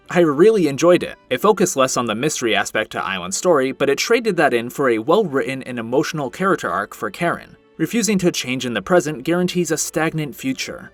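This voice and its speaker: A man's voice: mid-range voice, a bit bright, no echo. A man narrating a scripted video review with casual asides, in an emphatic, opinionated, conversational manner. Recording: music playing behind the voice. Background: background music.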